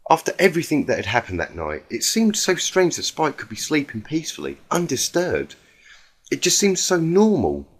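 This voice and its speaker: A man reading a fan fiction story aloud in an earnest, expressive, dramatic amateur narration. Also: medium pitch.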